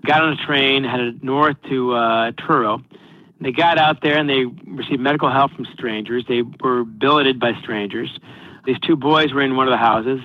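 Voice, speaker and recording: A man's voice: mid-range voice, dry recording. A man presenting a history story in a conversational way to a group, as a guest speaker. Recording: dull lo-fi online call audio.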